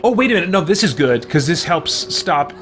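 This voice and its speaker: A man's voice: on the high side, very animated, a little fast, music in the background. A man giving casual live commentary while playing a video game, thinking aloud and musing to himself as he goes.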